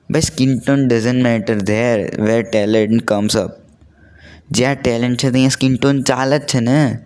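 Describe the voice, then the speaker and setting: A man's voice: medium pitch, a little mellow, clean tone. A young adult man chats casually and earnestly, telling stories in a conversational way and mixing in English words. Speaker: vlogger.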